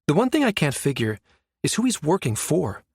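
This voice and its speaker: A man voicing a brisk, sardonic, exasperated woman space operative with informal, slangy speech in a science fiction audiobook. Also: fairly high pitch, wildly swooping pitch, breathy edge, no room sound, studio quality, pitched above the performer's own voice, full character voice. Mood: explaining.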